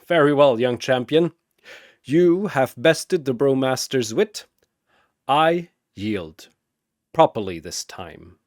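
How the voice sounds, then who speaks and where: A man's voice: medium pitch, lively intonation, takes it slowly, no room sound, studio quality. A man voicing a jolly old panda brewmaster with a theatrical, courtly, amused manner, in a storybook narration. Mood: gracious.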